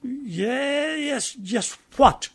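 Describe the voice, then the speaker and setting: An actor playing a stern, pompous naval officer in a radio play, questioning in a formal, commanding way. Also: male voice, very high voice, animated, very slow, husky, heavily acted.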